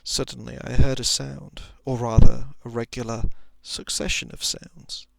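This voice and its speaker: Hushed, creaky man reading a thriller. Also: medium pitch, flat delivery, measured pace, no room sound. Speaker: narrator. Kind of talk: whispered ASMR reading of a thriller short story.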